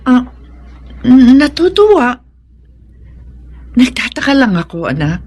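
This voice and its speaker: A woman's voice: high-pitched, big pitch swings, slow pace, a little mellow, slightly rough, heavily acted. A woman playing a troubled, suspicious wife and mother in a radio drama, with a Filipino accent. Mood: uneasy, wondering.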